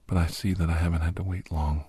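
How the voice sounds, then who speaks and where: A man playing a grave, haunted doctor and war veteran in an ASMR horror audio drama, speaking slowly in a breathy, husky hush that often slips toward a whisper. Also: sits low, little pitch movement, no echo, clean studio sound. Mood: grave, sorrowful. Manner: slow, halting, hushed, often slipping into whisper.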